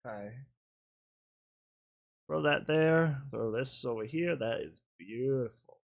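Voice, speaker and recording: A woman's voice: a bass voice, slow pace, gravelly. A woman hosting a reaction video with casual commentary, faint music behind.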